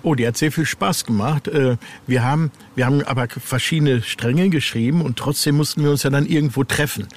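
Man in casual interview, medium-pitched voice. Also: brisk, few pauses. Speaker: horror author and editor being interviewed.

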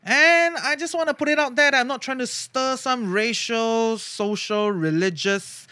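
A man's voice: very high-pitched, a little slow, pushed and bright, no echo. A man from Singapore hosting a solo podcast, talking earnestly and casually, often tagging his sentences with "right?" and "you know". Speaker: podcast host.

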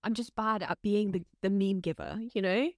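A woman's voice: medium pitch, fairly even intonation, moderate pace, a little mellow, no echo, clean studio sound. A woman co-hosting a podcast in casual chat, gushing and chatty, full of "like" and the odd swear word. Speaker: podcast co-host.

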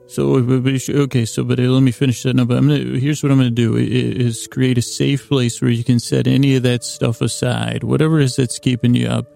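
A man hosting a bedtime sleep podcast, rambling in a halting, soothing way with a gravelly, creaky voice. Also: mid-range voice, level tone, mellow. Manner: meandering, halting, soothing, self-interrupting. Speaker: podcast host.

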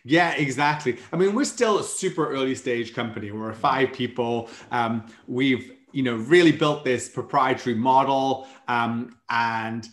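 A man's voice: medium pitch, unhurried, hardly any pauses, a bit bright. Welsh man explaining eagerly, echoey room. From Wales. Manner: upbeat, fluent, explanatory. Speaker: startup founder guest. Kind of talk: casual interview chat. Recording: roomy echo.

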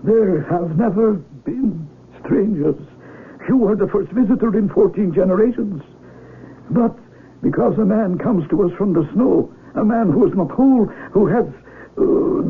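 An actor playing a dignified older village father in a radio drama, speaking in a measured, formal way. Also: high-pitched, breathy edge, full character voice. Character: dignified village elder, father of a grown daughter. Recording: lo-fi, noisy old radio broadcast.

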